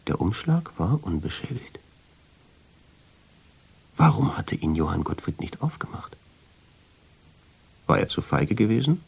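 An actor playing a brooding man who narrates a radio drama in the first person, measured and suspenseful. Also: deep voice, vocal fry, slightly rough, heavily acted. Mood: tense. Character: brooding man recounting a mysterious family discovery.

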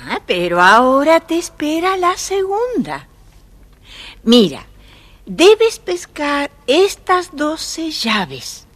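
A wry old woman of fairy tales, slow and highly animated as she sets perilous trials. She sounds in a long reverb on a noisy old storybook record. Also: exaggerated voice. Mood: stern, testing. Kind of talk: children's audio storybook acting.

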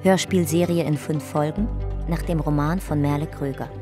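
A woman narrating a radio drama in a calm, measured reading. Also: sits low, music in the background.

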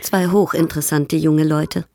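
Poised, low-voiced baroness. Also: female voice, steady tone, warm tone, no echo. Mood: courteous. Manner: elegant, measured, proud. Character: poised, worldly European baroness, coolly courteous. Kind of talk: German radio drama acting.

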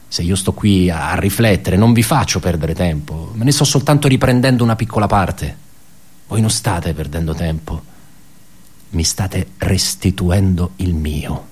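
Brooding office worker, lowish voice, raspy edge, halting, repetitive, anguished, pedantic, radio drama monologue. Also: male voice, darkish tone, exaggerated voice. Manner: halting, repeats words, rhetorical questions.

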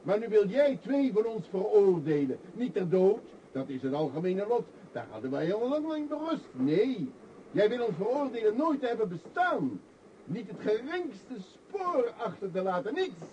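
A man's voice: high voice, very animated, normal speed, dark tone. An actor playing an impassioned man pleading for his right to exist in a radio play. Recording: distant, dull old radio recording, hiss.

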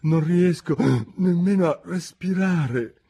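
A man's voice: sits high, takes it slowly, dark tone, husky, pitched above the performer's own voice, exaggerated voice. A man voicing an indignant, whiny little dormouse in a storybook reading.

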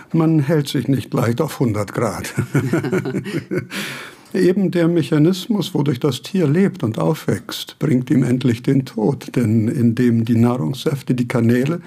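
Casting: learned, eccentric old philosopher in radio drama; gravelly, deliberate, given to morbid lectures. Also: male voice, medium pitch, mellow. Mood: morbidly amused. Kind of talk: German radio play acting.

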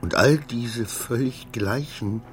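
An actor in a German radio play as a suspicious, agitated titular councillor, halting and repetitive, scolding and wheedling his servant. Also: mid-range voice, faint music behind, natural voice. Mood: troubled, haunted.

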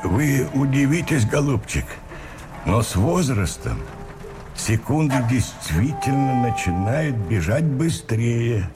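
A man's voice: medium pitch, very animated, slow. An actor playing a kindly ageing man in a radio drama, soothing, avuncular and old-fashioned in his courtesy. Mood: musing. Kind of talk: Russian radio drama acting. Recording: music behind the voice.

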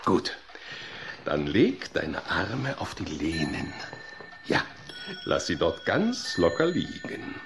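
A man's voice: low-pitched, slow, vocal fry, long pauses, over music, full character voice. A man playing an earnest, fussy psychologist who lectures and coaxes patiently in a German children's audio drama. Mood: coaxing instruction. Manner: didactic, expressive, coaxing.

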